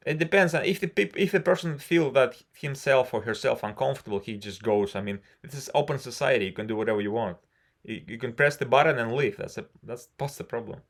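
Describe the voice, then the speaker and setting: A man with a Russian accent talks English casually over a video call. His pitch sits in a plain middle range. He rambles frankly and fills his pauses. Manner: rambling and frank, many "you know" fillers. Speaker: civil engineer. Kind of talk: casual conversation practice.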